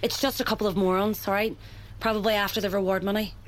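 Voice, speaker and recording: A woman's voice: mid-range voice, flat delivery, fast talker, slight background noise, lightly acted. Woman acting a curt, hard-edged fugitive. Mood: impatient. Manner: terse, impatient. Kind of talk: audio drama acting.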